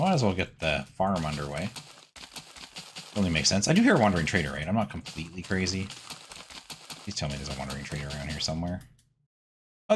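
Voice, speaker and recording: A man hosting a gaming video, giving casual, chatty gameplay commentary and thinking out loud as he goes. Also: on the low side, a little hiss or hum.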